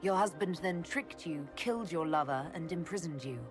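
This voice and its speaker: An actress playing a composed, determined young heroine in a fantasy video game, speaking in an earnest, plain way. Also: female voice, lowish voice, normal speed, lightly acted. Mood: composed, curious. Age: young adult. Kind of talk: dramatic video game acting. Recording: orchestral game music behind the voice.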